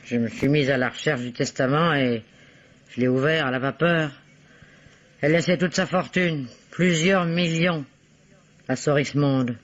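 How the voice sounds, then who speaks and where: An actress playing a spiteful seamstress murderess, blunt and mocking, in a vintage French radio mystery. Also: female voice, low voice, unhurried. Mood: cold confession. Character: spiteful seamstress murderess, brazenly confessing her crimes. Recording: dull old radio drama recording.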